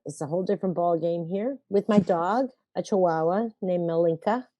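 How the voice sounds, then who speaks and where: A woman's voice: on the low side, moderate pace, warm tone, dry recording. A woman playwright chatting in an interview, telling wry, self-mocking stories from her life. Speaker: playwright guest. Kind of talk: conversational interview.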